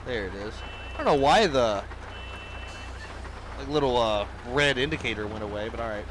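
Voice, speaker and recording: A man hosting a police game video, talking casually over the gameplay while he is sick with a cold, and shouting commands at suspects during stops. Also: mid-range voice, expressive, moderate pace, slight background noise, poor audio. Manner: barks loud commands at suspects.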